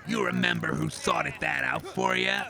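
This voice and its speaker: A man voicing a bluffing, bumbling tough-guy cyborg with a gravelly voice in a comic audio drama. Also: medium pitch, wildly swooping pitch, normal speed, exaggerated voice. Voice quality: gravelly, creaky. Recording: outdoor crowd and vehicle bustle.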